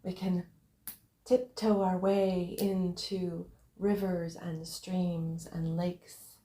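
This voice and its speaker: Slow, mellow woman guiding a visualization. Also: lowish voice, level tone, echoey room. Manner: unhurried, short separated phrases, breathy emphasis. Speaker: guided visualization narrator. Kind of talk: guided visualization, meditative first-person narration.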